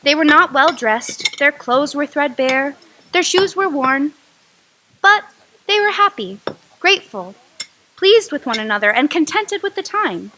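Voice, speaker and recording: A woman narrates a radio drama with expressive storytelling, her high voice bright and lively. A faint hiss sits under the recording. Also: a little slow.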